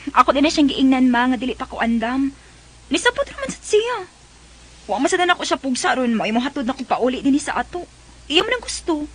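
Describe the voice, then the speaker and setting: A woman's voice: high-pitched, sing-song, brisk, slightly rough, heavily acted. A woman with a Cebuano Filipino accent playing a shy, hesitant young woman being courted in a radio drama. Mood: coy, hesitant. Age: young adult.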